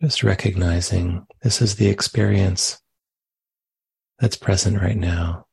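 A man leading a guided meditation as a teacher, speaking gently in short phrases with long, unhurried pauses. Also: deep voice, steady tone, creaky, breathy edge, dry recording. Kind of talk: guided meditation, spoken live.

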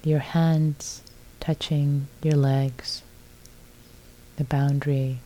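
A woman leads a guided meditation in a very soft, dark and mellow voice. She speaks slowly and gently, leaving long silences between short phrases. Her pitch sits low for a woman, and her delivery stays calm throughout. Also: low-quality recording. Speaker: meditation teacher.

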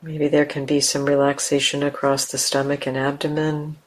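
A woman leading a guided meditation as a teacher, speaking gently in simple, unhurried phrases with long pauses. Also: sits low, monotone.

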